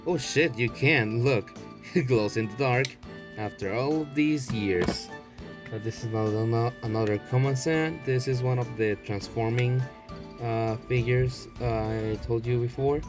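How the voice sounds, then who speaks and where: A man's voice: medium pitch, a little slow, music in the background. A man giving an unscripted, casual show-and-tell as a video blogger, rambling and prone to swearing. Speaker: video blogger showing a toy collection.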